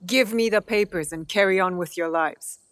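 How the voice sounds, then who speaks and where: A woman's voice: medium pitch, moderate pace, no room sound, close to an everyday voice. An actress playing a cold, controlled female ninja in a video game, speaking with firm menace. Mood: firm warning. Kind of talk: video game cutscene acting.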